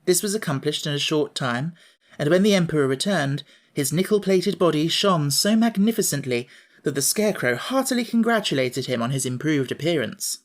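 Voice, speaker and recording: Male audiobook narrator, measured storytelling. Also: on the high side, animated.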